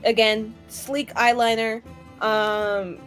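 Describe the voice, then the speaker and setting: Woman w a higher voice chatting thru her rpg character's moves, slangy, soft music behind. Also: flat delivery, slow pace. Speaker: tabletop game player. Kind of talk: casual in-game narration.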